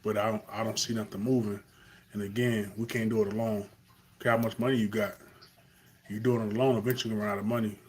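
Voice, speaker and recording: A man's voice: on the low side, level tone, fast talker, a little mellow. A young man from Carolina with a Southern accent, talking earnestly off the cuff in a live stream monologue, with fillers and restarts.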